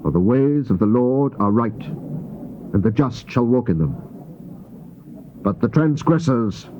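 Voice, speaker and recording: An actor playing a grave biblical prophet, reading scripture in a measured, stately, solemn way. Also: male voice, mid-range voice, animated, warm tone, lightly acted. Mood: solemn, assured. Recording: muffled thin old cassette tape, faint hiss.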